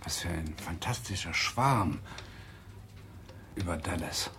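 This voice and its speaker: A man's voice: sits low, slow pace, fairly bright tone, heavily acted. An actor playing a curious but cautious male professor in a German radio play, speaking tentatively. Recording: echoey room, some background noise.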